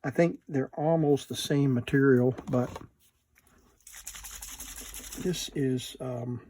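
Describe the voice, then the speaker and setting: Slow-talking man, casual repair demonstration. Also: medium pitch, steady tone, mellow, a little hiss or hum. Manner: unhurried, murmuring to himself while working. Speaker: host of a repair video channel.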